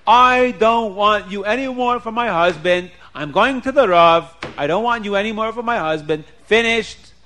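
Man acting out an outraged rich wife, high-pitched, scolding, repetitive, indignant storybook lady. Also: big pitch swings, quick pace, few pauses. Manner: scolding with sharp rhetorical questions and repetition.

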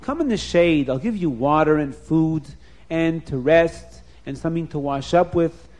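A man voicing a kind, hospitable young wife who speaks eagerly and warmly. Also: deep voice, moderate pace, close to an everyday voice. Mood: welcoming. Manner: eager, welcoming.

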